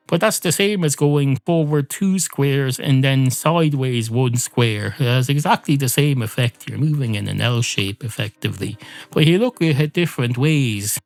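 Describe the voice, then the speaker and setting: A man hosting a podcast with a rambling comic monologue, often repeating and second-guessing himself. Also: medium pitch. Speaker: podcast host.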